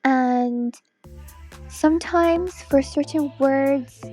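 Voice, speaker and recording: A woman streamer with a very high voice and a mostly American accent. She talks slowly and casually, with a playful, teasing manner. Faint music plays behind her. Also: warm tone. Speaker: streamer, digital artist. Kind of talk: casual livestream chat while drawing.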